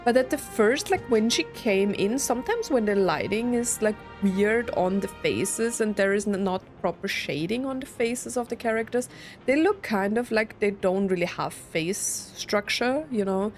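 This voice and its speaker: A young woman streamer chats casually through a game, thinking out loud. Her voice sits at a middle pitch, with faint music playing underneath. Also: lively intonation, moderate pace. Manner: chatty, thinking aloud, reads dialogue out. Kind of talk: casual let's play commentary.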